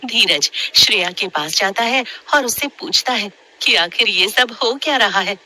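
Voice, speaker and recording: Sharp, bright-voiced woman storyteller. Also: medium pitch, lively intonation, measured pace. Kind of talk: scripted horror story narration.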